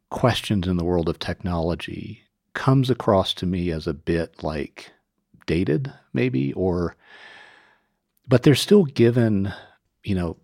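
A man hosting a conversational interview, musing in a measured way and choosing his words carefully. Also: low voice, gravelly, darkish tone, dry recording, studio quality. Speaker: podcast host.

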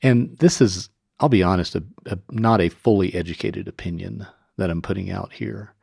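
Deep creaky guy hosting a podcast chat, measured and musing, picks words carefully. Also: a little mellow, no room sound, studio quality. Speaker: podcast host. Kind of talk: conversational interview.

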